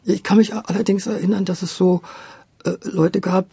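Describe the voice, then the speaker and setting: A man's voice: sits high, fairly even intonation, moderate pace, gravelly, dark tone, slightly rough, no echo. An older man from Berlin reminisces in a conversational interview, reflective and hesitant, often correcting himself as he goes. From Berlin, Germany. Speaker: interviewee, radio drama director.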